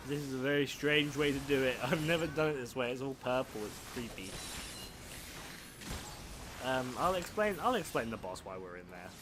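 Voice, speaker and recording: Man, young adult, croaky throat, casual game commentary, self-deprecating, game music underneath. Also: medium pitch, expressive, normal speed. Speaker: gameplay commentator. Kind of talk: casual let's play commentary. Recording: game music playing behind.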